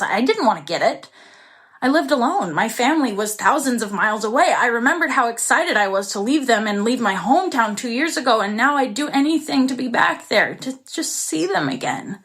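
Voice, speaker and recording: Excitable, confiding young woman. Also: medium pitch. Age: young adult. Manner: expressive, confiding, breaks into small laughs. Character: excitable, warm-hearted young woman living alone, telling her story. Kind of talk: dramatic first-person monologue.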